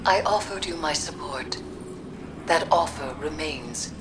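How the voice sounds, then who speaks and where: An actress playing a grave, wise witch who speaks in a solemn, cryptic, measured manner in a video game. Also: deep voice, lively intonation. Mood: firm, consoling. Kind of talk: dramatic video game acting. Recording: dramatic music behind the voice.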